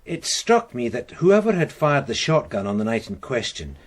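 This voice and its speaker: An actor playing an earnest, methodical police sergeant with a husky voice, explaining deferentially in a radio crime drama. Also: male voice, medium pitch, very animated, moderate pace. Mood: earnest, explanatory.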